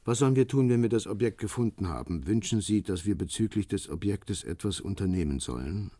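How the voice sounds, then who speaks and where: An actor playing a curt middle-aged Russian ex-soldier turned shady fixer in a radio drama, husky, guarded and businesslike. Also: male voice, fairly low pitch, animated, brisk, dark tone, dry recording, studio quality. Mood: cool, menacing.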